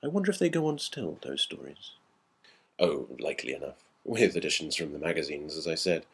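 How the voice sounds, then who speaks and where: A man playing an older, reflective gentleman who recalls ghostly events in a hesitant, old-fashioned, musing way, as in a radio story. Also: rumbling low, very animated, fairly quick, gravelly, husky, exaggerated voice. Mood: thoughtful.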